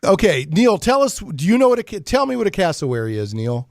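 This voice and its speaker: A man's voice: high-pitched, very animated, fast, dry recording, studio quality. A man hosting a talk show, chatting casually in a rambling, joking, mock-serious way. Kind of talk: casual chatty radio banter.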